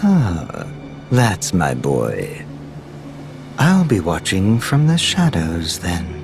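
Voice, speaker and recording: An actor playing a sly, detached scientist villain in a video game, languid and sardonic. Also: male voice, deep voice, huge pitch swings, drawn-out words, gravelly, music in the background, full character voice. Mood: sly, mocking. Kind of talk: video game cutscene acting.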